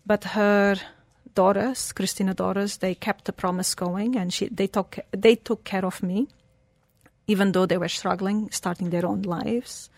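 A woman's voice: medium pitch, fairly even intonation, measured pace, no room sound. A woman, telling her life story earnestly as an interview guest. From Portugal. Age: 40s. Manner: earnest, storytelling, slightly broken English phrasing.

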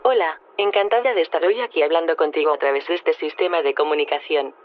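An actor voicing a polite artificial intelligence that speaks formally and precisely over a narrow phone line. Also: male voice, fairly high pitch, quick pace, natural voice. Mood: courteous, matter-of-fact. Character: polite artificial intelligence show creator with female name. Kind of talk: scripted interview answers in audio fiction. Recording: band-limited radio or telephone line.

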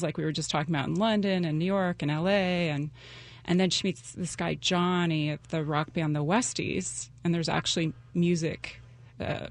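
A woman's voice: low-pitched, gravelly, no echo. A middle-aged woman talking about her novel as a guest in a casual interview, chatty and full of fillers. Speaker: guest author.

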